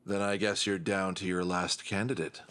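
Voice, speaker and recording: A man's voice: deep voice, level tone, moderate pace, noisy background, lightly acted. A man playing a weary, reluctant fox hero with a dry, guarded manner, in an audio drama. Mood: grave, guarded.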